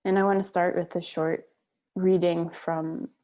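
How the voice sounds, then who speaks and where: A woman leading a guided meditation on a video call, speaking gently and unhurriedly with long pauses. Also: lowish voice, level tone.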